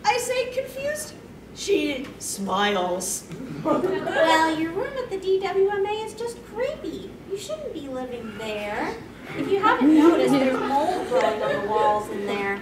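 A young woman hosting a panel, reading comic fan fiction aloud in a theatrical, halting way. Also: sits high, sing-song. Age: young adult. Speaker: cosplay panel host. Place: convention panel room stage, indoors. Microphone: far camera. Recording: distant, echoey panel room, loud noise, crowd.

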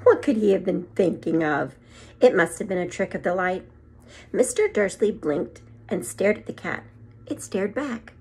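A woman's voice: mid-range voice, normal speed, breathy edge. A middle-aged woman reading a children's story aloud like a teacher, expressively acting it out and adding short asides. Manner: animated, acts out the story, adds short asides. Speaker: second grade teacher reading aloud to pupils. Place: small room at home, indoors. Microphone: phone or tablet propped at arm's length.

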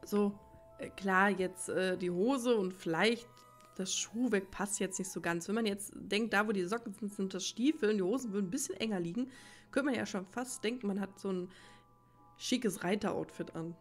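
A woman's voice: medium pitch, moderate pace. A woman giving casual let's play commentary, rambling and thinking aloud as she plays. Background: faint game music.

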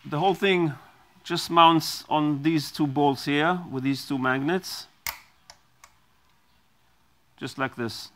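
A middle-aged man explaining and demonstrating a device he designed, speaking haltingly with many ums and restarts, in a small studio on a lapel mic. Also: fairly high pitch, a little hiss or hum. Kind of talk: product demonstration.

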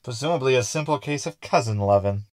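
A skeptical, easily unnerved hologram, voiced by a man, carps sarcastically through a sci-fi comedy drama. The voice is medium in pitch and recorded with no room sound at all. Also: fast, dry recording, natural voice. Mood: sarcastic. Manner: sarcastic, querulous.

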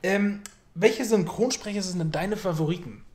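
A man's voice: high voice, normal speed, a little mellow. A young man asking questions as the interviewer in a casual chat. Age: young adult. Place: small room indoors. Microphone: handheld recorder held at chest height.

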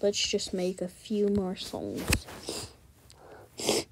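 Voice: mid-range voice, sing-song, extremely slow, creaky, background noise. A gamer giving casual, slangy gameplay commentary and often repeating words and phrases. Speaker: gaming video host.

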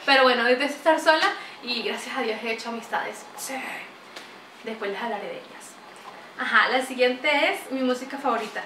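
A young woman from Venezuela with a Venezuelan accent, chatting to camera in a lively, talkative way, recorded in a small bright room. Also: a little high, fairly quick, distant mic, noisy background. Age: young adult. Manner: chatty, animated, gestures a lot. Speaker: vlogger. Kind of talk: casual chat to camera. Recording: roomy echo, voice a bit off-mic.

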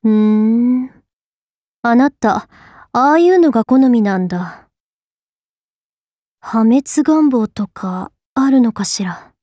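High, poised young space goddess. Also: female voice, a little mellow, no room sound, clean studio sound. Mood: aloof, teasing. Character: proud young space goddess swordswoman, cool, dignified, easily flustered. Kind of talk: anime game character voice lines.

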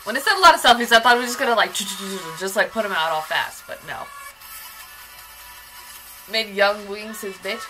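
A woman's voice: medium pitch, animated, normal speed, pauses often, sharp tone, rough recording. Young woman joking around to her webcam in her bedroom, bouncy voice. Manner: playful, joking, chatty. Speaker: vlogger reacting to music videos. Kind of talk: casual reaction commentary. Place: small bedroom, indoors. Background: music from the clips.

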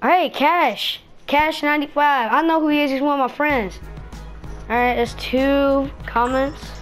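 High-pitched young gamer chatting on stream. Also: steady tone, unhurried, fairly bright tone, soft background music. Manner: slangy, rambling. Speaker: gaming streamer. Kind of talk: casual gameplay stream chat.

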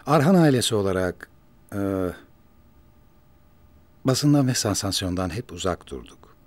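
An actor in his forties playing a composed wealthy businessman who talks his half-sister into a short break from the press, in a measured, persuasive way. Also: fairly low pitch, expressive. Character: composed wealthy businessman, protective older brother. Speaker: older brother in a rich family. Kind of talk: television drama acting. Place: office set.

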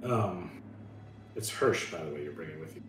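A man's voice: deep voice, animated, moderate pace, noisy background. A man playing a tabletop roleplaying game, casually describing what his character does. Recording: echoey room, music behind.

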